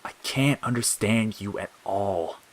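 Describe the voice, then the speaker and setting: A man's voice: fairly low pitch, measured pace, close to an everyday voice. A man voicing a cruel, mocking, childish talking flower in an audio fiction, jeering and wheedling by turns. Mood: hollow, baffled. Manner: jeering, cackling, swinging from sneers to wheedling. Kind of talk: dramatic audiobook character acting.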